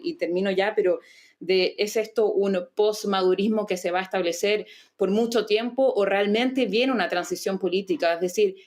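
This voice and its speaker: A woman from Chile, a guest analyst on a news panel, speaking fluently and emphatically. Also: mid-range voice. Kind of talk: debate commentary.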